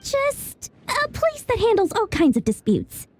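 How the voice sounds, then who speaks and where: A woman's voice: extremely high pitch, wildly swooping pitch, measured pace, heavily acted. A woman voicing a greedy, chatty young female merchant in a video game, talking in a theatrical, salesy way. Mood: cautioning, smug. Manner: theatrical, salesy, self-promoting. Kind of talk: video game character acting. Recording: music under the voice.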